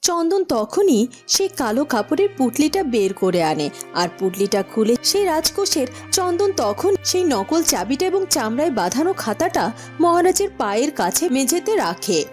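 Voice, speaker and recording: A woman's voice: high voice, sing-song, moderate pace, a little mellow, soft background music. A woman narrating a scripted fairy tale as a cartoon storyteller.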